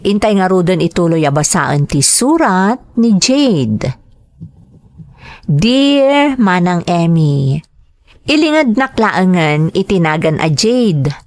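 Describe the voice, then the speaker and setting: A woman's voice: lowish voice, sing-song, slow pace, darkish tone, dry recording. A woman with an Ilocano accent reading greetings and letters as a podcast host and narrator.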